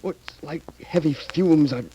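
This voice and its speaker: An actor playing an ailing, frightened husband in 1930s radio melodrama, halting and anguished as he gasps for breath. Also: a little high, warm tone, muffled recording, lightly acted. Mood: weak, wistful. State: choking, gasping, weakening. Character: ailing, fearful husband gasping out his suspicions. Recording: noisy, crackly 1930s radio recording.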